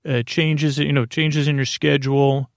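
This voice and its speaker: Creaky-voiced rambling male podcast host. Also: mid-range voice, little pitch movement, measured pace, dry recording, studio quality. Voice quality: creaky, gravelly. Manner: hesitant, meandering, with stammered false starts. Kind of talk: rambling, whimsical improvised bedtime monologue.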